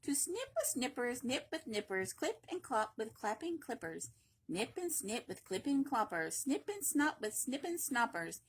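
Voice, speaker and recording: A woman reads a picture book to children in a fairly high voice. Her delivery is playful and emphatic, like a library story time, and she drops in short asides between the lines of the tale. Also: big pitch swings, unhurried, husky. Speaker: library read-aloud reader. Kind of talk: expressive children's picture-book read-aloud with brief asides.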